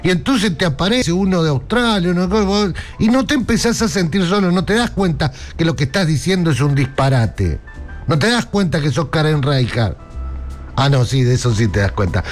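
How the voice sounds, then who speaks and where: Sarcastic high-voiced Argentine man. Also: big pitch swings, moderate pace, soft background music. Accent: Argentine Rioplatense. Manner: mocking, sarcastic, laughs at own jokes, addresses listener directly. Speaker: political commentator. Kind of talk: sarcastic political monologue.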